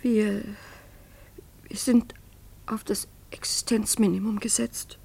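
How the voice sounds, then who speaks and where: An actress playing a naive, anxious, soft-hearted schoolteacher's wife, earnest and pleading, in a radio play. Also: female voice, mid-range voice, little pitch movement, takes it slowly, vocal fry, slightly rough, exaggerated voice. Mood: worried confession. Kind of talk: German radio play acting.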